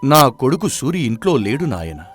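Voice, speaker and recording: Man playing a calm old father, swooping pitch. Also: faint music behind, lightly acted. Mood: earnest. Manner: measured, stoic, reassuring. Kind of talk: moral-story dramatization.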